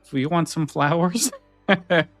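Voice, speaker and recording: A man co-hosting a podcast, talking casually and haltingly, repeating phrases as he thinks. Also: a little high, a bit bright, dry recording, faint music behind. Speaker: podcast co-host.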